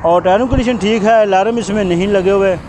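A man with a high voice gives brisk, repetitive salesman patter while he walks around used cars. He is recorded outdoors on a camera mic at a busy roadside bazaar, with clear street noise behind him. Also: darkish tone. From Pakistan. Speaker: used-car video host. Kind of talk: walkaround car sales commentary.

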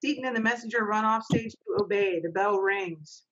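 A woman's voice: medium pitch, moderate pace, noisy background. A middle-aged woman acting as stage manager, reading stage directions and plainly instructing readers in an informal way. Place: small home office. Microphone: video call, computer microphone. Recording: dull, lo-fi video call audio.

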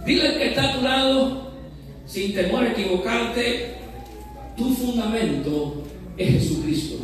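A pastor preaching a sermon in a church, fervent, with shouted rises and slow drawn-out emphasis. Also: male voice, very high-pitched, lively intonation. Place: church sanctuary. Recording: echoey church hall from far off.

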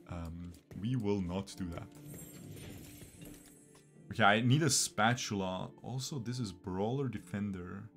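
Chatty young man streaming a game. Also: fairly low pitch. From Bosnia, raised in Switzerland. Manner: chatty, talks to his viewers, repeats himself. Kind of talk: live gameplay commentary. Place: small room indoors.

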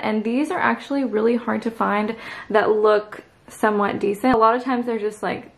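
A woman in her twenties talks to her viewers in a chatty, upbeat vlog voice, dropping into a gravelly fry at the ends of phrases. She is recorded on a camera mic in a room at home, and the sound has a noticeable roomy echo. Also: medium pitch. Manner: chatty and upbeat, lots of "like" and "you guys". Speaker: lifestyle vlogger. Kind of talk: casual vlog chat to viewers. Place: indoors at home, bedroom and dressing room.

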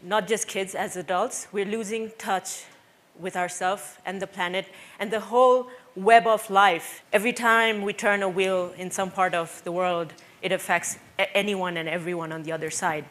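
A woman's voice: mid-range voice, steady tone, moderate pace, a bit bright. A woman from Bangladesh, in her thirties, giving a personal talk on stage before an audience, earnest and reflective with frequent hesitations. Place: stage before a seated audience in a dark hall. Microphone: headset mic.

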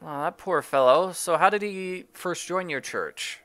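A man's voice: high-pitched, unhurried, fairly bright tone, no room sound. A man giving casual, jokey game walkthrough commentary, musing aloud as he plays.

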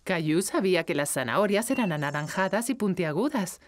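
A woman's voice: lowish voice, lively intonation, a little fast, close to an everyday voice. A woman performing scripted storybook narration for a children's cartoon. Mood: storytelling.

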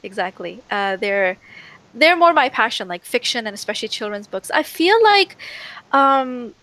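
Soft-voiced young Canadian woman on a headset. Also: on the high side, sing-song, normal speed, low-quality recording. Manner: giggly, eager, repeats yes and like. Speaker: audiobook narrator. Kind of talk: casual interview on a video call. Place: small room indoors. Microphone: headset mic.

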